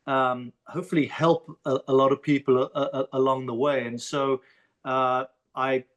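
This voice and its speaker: South African man, halting and repetitive. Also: mid-range voice, little pitch movement, fairly bright tone, muffled recording. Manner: halting, repeats words as he starts a thought. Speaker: podcast guest. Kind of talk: casual interview talk.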